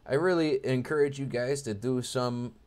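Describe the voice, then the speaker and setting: A man's voice: mid-range voice, normal speed, darkish tone. A young man giving informal, joking, educational commentary over wildlife footage, with an enthusiastic manner. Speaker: amateur birder narrating wildlife footage.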